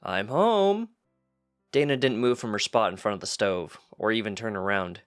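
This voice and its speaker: A man's voice: on the low side, brisk, fairly bright tone, clean tone, soft background music. A man reading fan fiction aloud as scripted story narration. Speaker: fanfiction reader.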